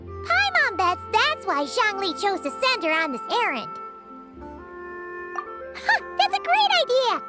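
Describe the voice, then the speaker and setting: Super high squeaky little game fairy, bubbly, bouncing all over, music behind. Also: female voice, huge pitch swings, unhurried, long pauses, exaggerated voice. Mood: excited, cheerful. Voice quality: squeaky, childlike. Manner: exclamatory, talks of self in third person. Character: tiny excitable childlike fairy companion, bubbly and inquisitive. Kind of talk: animated video game voice acting. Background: background music.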